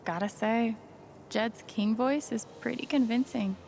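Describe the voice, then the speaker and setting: A woman's voice: on the high side, a little slow, creaky, darkish tone, a little hiss or hum. An actress playing a friendly, playful young woman adventurer in video game dialogue. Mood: friendly, teasing.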